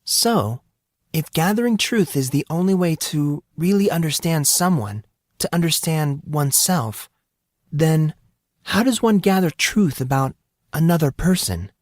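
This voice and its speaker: Guy doing a reserved teen girl, polite n curious, voice lifted high, dry audiobook sound. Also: expressive, a little slow, no echo. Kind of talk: dramatised audiobook dialogue.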